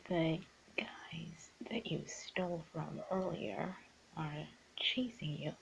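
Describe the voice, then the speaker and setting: A woman's voice: deep voice, takes it slowly, vocal fry, a little hiss or hum, exaggerated voice, rough recording. A woman playing a teasing, bossy young art student in an improvised ASMR roleplay, often slipping into a half-whisper. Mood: sly teasing.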